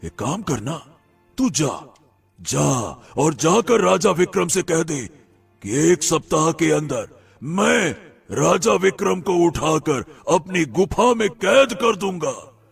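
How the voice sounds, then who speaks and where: A man voicing a cruel, boastful demon in an animated moral story, taunting with drawn-out, emphatic menace. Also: a little high, creaky, slightly rough, faint music behind, exaggerated voice. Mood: cruel threatening.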